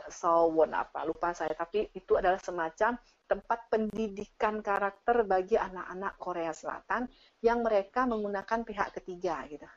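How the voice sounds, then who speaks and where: A woman giving casual, animated explanations as she answers audience questions on an online call. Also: mid-range voice. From Indonesia. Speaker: teacher and speaker sharing her experience. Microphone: online video call. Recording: dull, lo-fi online meeting audio.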